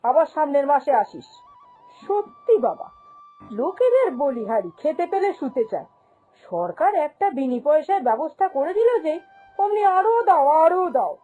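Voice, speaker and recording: A woman's voice: sits high, big pitch swings, moderate pace, mellow, faint music behind, low-quality recording. A woman voicing a greedy, domineering, haughty female shop boss in a cartoon, scolding and dismissive. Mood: scornful, dismissive. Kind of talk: cartoon fairy-tale voice acting.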